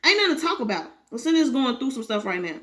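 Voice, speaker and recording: A woman's voice: a little high, very animated, racing, fairly bright tone. A woman doing a pleading cheating husband. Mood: explaining. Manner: pleading, drawling.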